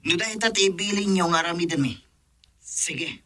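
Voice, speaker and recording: A man's voice: high voice, moderate pace, sharp tone. A man playing a wary henchman who takes orders from his boss in a radio drama.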